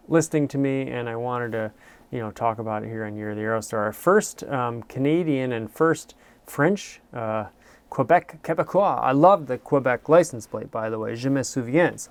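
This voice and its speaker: Gravelly, smooth-voiced man rambling casually. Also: mid-range voice. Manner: meandering and self-deprecating, heavy on fillers. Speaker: host of a car review show. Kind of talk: casual, rambling car review.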